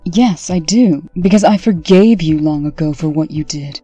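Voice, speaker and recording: Woman doing a reserved princess for a comic dub, mellow and dry sounding, formal, in charge. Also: sits low, normal speed, slightly rough, no echo, muffled recording, soft background music. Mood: tentative. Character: reserved, authoritative fairy-tale princess, wounded but firm.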